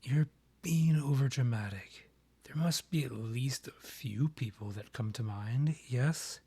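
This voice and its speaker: A proud vampire king played by a man in a romantic fantasy audio roleplay. His mid-pitched voice is soft-edged and breathy. He speaks in formal, measured phrases with an arch, condescending air, as a rival and former lover. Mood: coaxing, exasperated. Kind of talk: scripted romantic roleplay ASMR audio drama.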